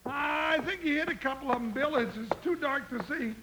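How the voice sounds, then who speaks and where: An actor playing an excitable, loyal, simple cowboy sidekick with a folksy American drawl in an old-time radio Western. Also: male voice, extremely high pitch, moderate pace. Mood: agitated. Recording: old radio recording with faint noise.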